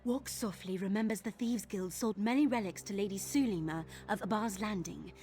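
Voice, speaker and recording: A woman's voice: medium pitch, moderate pace, mellow, lightly acted. An actress voicing an earnest young woman thief searching for her missing father in a video game, confiding in tone. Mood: worried, determined. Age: young adult. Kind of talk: video game character dialogue. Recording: game music behind the voice.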